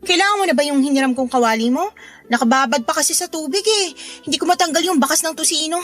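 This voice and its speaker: Actress as sassy, very high-voiced Filipina neighbour. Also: female voice, fast talker, a bit bright, faint music behind. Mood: apologetic, casual. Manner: bantering, exclamatory, sarcastic. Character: sassy, distrustful but playful female neighbour, quick to joke. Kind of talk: Filipino radio drama acting.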